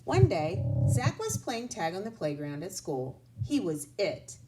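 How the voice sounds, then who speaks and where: Slow, expressive woman reading to children. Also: lowish voice, heavy background noise. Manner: expressive, deliberate, pausing to address child listeners. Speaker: teacher reading aloud. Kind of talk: children's storybook read-aloud and lesson.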